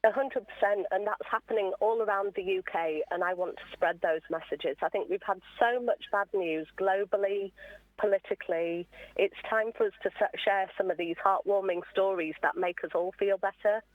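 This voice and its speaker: Woman guest on a radio chat, explaining stuff earnestly, mid pitch, bit muffled and lo-fi. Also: moderate pace, dry recording. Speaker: interview guest, awards founder. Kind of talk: conversational radio interview.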